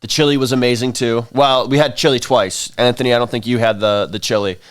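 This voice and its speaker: A man's voice: mid-range voice, fairly even intonation, fairly quick, no room sound, studio quality. A sleep-deprived man hosting a casual livestream in a rambling, informal, self-deprecating way, his voice tired. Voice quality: rough, tired voice. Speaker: livestream host, shop staff. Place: small dark indoor room.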